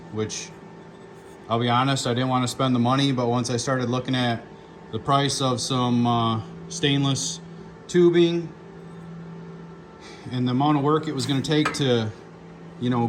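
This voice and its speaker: A man giving a casual, plainspoken hands-on walkthrough as a car builder, thinking aloud in an informal way. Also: medium pitch. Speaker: car builder hosting a fabrication video. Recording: noisy handheld shop video, ambient noise.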